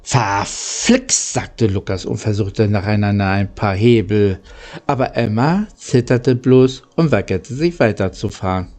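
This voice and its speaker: A man narrating a children's story from a script, in a halting way that stumbles over words. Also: fairly low pitch, dark tone. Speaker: audiobook narrator.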